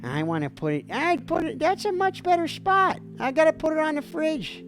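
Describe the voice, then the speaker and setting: Gravelly woman, swooping theatrical streamer host. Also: high voice, big pitch swings, normal speed. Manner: dreamy, theatrical and goofy. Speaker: livestream call-in show host. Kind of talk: playful mock-therapist call-in chat. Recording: music playing behind the voice. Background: background music.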